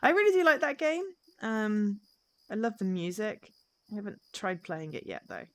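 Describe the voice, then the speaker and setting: A woman's voice: medium pitch, big pitch swings, normal speed, fairly bright tone. A woman chatting casually and exuberantly with viewers as she live-streams a game.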